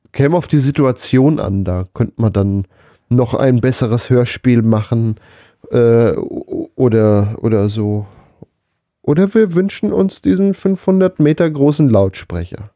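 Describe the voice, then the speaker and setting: A man's voice: medium pitch, takes it slowly, pauses often, mellow, dry recording, studio quality. A man co-hosting a casual, humorous podcast, musing in a hesitant, rambling, mock-serious way. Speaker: podcast co-host.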